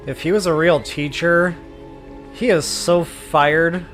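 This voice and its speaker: Chatty male game streamer, slightly high voice. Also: steady tone, slow pace, fairly bright tone. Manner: chatty, informal, explanatory. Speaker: game streamer and walkthrough host. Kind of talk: casual live gameplay commentary. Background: game music.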